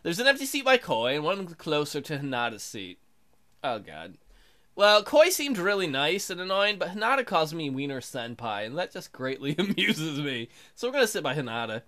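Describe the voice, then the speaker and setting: A man's voice: fairly high pitch, sing-song, normal speed, sharp tone. A man hosting a let's play, reading game text aloud and adding casual, sarcastic, joking commentary.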